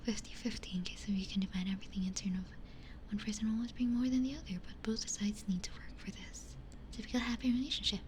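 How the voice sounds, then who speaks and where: A woman whispers in a hushed, breathy ASMR style, very close to the microphone. She chats casually and reflectively to stream viewers, with a light hiss of ambient noise behind her voice. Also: medium pitch, quick pace. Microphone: close binaural ASMR microphone.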